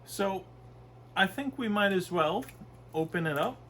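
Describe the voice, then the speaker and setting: A man's voice: high voice, expressive, unhurried, noisy background. A young man from Canada with a stuffy nose from a cold, chatting casually and rambling through a food review in his bedroom. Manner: rambling, chatty, with frequent fillers and restarts. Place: small bedroom, indoors.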